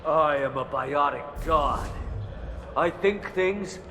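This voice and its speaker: A man's voice: fairly high pitch, takes it slowly, noisy background. An actor playing a drugged, delusional little alien merchant in a video game, rambling bombastically about his godlike power. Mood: grandiose boasting. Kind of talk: video game character acting.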